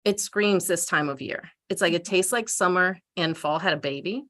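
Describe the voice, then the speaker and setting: A woman panelist telling personal stories in a casual, animated, informal way. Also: lowish voice, normal speed.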